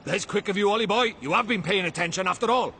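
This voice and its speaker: Cheeky, high-pitched little fairy sidekick. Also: male voice, fast, bright, forceful tone, slight background noise. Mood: explaining. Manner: chummy, colloquial, exclamatory. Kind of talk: animated fantasy game voice acting.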